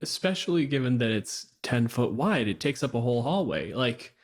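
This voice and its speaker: A man's voice: medium pitch, very animated, moderate pace. Wry, blunt man in casual podcast chat. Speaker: podcast co-host.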